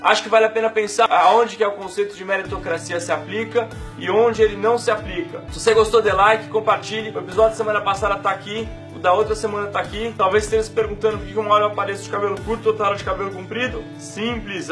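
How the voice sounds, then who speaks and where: A man hosting an interview, asking slow, hesitant questions with restarts. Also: high voice, steady tone, over music. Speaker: interviewer and show host.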